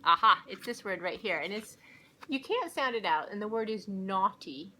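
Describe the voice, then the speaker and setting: A woman reading aloud slowly to young children like a teacher, with a deliberate, coaching and animated manner. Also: medium pitch, a little hiss or hum, rough recording. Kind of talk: slow read-along for young children.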